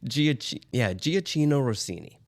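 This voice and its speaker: A man hosting a music show, chatting casually and explaining old recordings in a halting way. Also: medium pitch, animated. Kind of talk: casual chat about vintage recordings.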